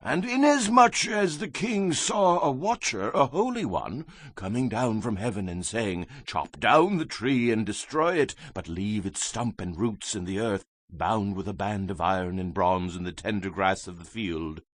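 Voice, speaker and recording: A man's voice: fairly low pitch, animated, unhurried, few pauses, dry recording, voice pushed down. Grave Hebrew prophet, voiced by a man, earnest oratory with sweeping intonation, dry scripture-drama sound. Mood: solemn warning. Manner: solemn, oratorical.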